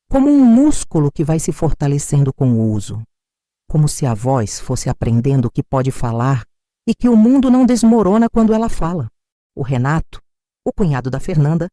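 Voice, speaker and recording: Brazilian female narrator, scripted first-person storytelling, measured and reflective, bright voice, close dry sound. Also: lowish voice, no room sound.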